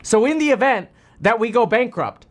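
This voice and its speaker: A smug, entitled executive drawls out demands, as a man parodies them. The delivery is slow and sneering, with pitch swinging widely. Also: big pitch swings.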